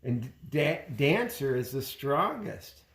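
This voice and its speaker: Jolly old Santa Claus, acted out by a man, storybook character, deliberate delivery, medium pitch, roomy sound. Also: big pitch swings, fairly bright tone. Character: jolly old Santa Claus choosing his reindeer team. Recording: roomy home recording.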